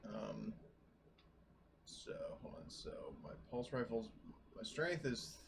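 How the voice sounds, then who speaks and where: A man's voice: mid-range voice, takes it slowly. A man chatting casually as a player in a tabletop roleplaying game, working through rules and stats. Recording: clear background noise.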